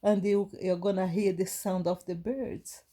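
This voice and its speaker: A woman from Brazil with a Brazilian Portuguese accent, giving a gentle, halting inspirational talk to her viewers with many restarts. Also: mid-range voice, steady tone. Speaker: spiritual vlogger.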